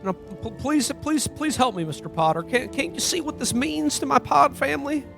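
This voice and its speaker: Man acting a high-pitched, stammering desperate podcaster. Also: normal speed, gravelly, fairly bright tone, exaggerated voice. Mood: pleading, flustered. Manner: stammering, folksy, flustered. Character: desperate, pleading podcaster in old holiday-film style. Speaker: podcast host. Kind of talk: comedic parody radio play acting. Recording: music behind the voice.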